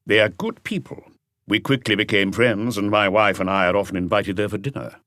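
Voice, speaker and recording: A man's voice: on the low side, a little fast, a bit bright, dry recording, studio quality. A man voicing an earnest, talkative doctor who explains things with assurance in an audiobook mystery. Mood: conviction. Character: earnest male doctor, talkative and conscientious family friend.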